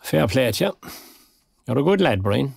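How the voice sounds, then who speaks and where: A man's voice: medium pitch, sing-song, normal speed, gravelly, a little mellow, breathy edge, dry recording, exaggerated voice. An actor playing a lonely, wry retired man in his sixties, with an Irish accent and a dry, wistful, understated manner in a naturalistic audio drama. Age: 60s.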